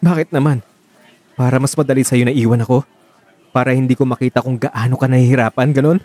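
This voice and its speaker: A man's voice: medium pitch, steady tone, fast, darkish tone, lightly acted. A man playing a heartbroken, insistent young lover in an emotional radio drama, pleading for the truth. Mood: upset, confronting. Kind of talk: Tagalog radio drama acting.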